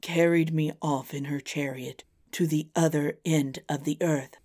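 A woman voicing a lovelorn, noble storybook king who pleads earnestly in a drawn-out, melodramatic way. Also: deep voice, fairly even intonation, mellow. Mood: earnest, devoted. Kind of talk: storybook character voice.

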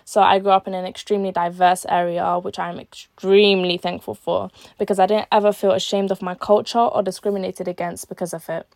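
A teenage woman reads her solo podcast script in an earnest, measured way. Her voice sits at a middling pitch and often drops softer at the ends of lines. The sound is dry and close, with no room around it. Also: level tone, vocal fry, no room sound. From born in Algeria, raised in East London. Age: late teens. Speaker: podcast host.

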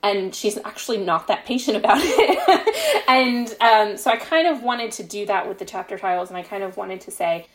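A woman's voice: medium pitch, very animated, normal speed, hardly any pauses, poor audio. A woman speaking as a guest author in a casual interview, explaining her writing in a reflective, conversational way. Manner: reflective, explains at length with frequent "you know" and "like".

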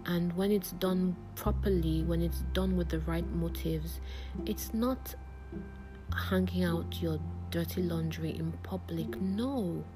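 Soft-spoken woman host. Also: on the low side, takes it slowly. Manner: soft, soothing, half-whispered delivery. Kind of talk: reflective monologue. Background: loud music.

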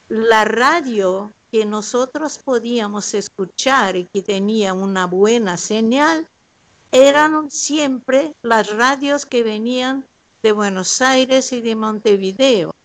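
An older woman from Brazil, a native Portuguese speaker talking in Spanish with a Brazilian accent and a Rioplatense lilt, giving a measured, polite account of her life over a video call. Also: fairly high pitch, no echo. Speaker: guest artist. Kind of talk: slow, careful personal account. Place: her home, remote link.

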